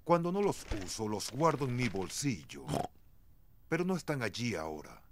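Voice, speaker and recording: An actor playing a grumbly but good-natured daddy pig in a preschool cartoon, with a blustery, exaggerated delivery. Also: male voice, medium pitch, big pitch swings, moderate pace, background noise, close to an everyday voice. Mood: grumpy.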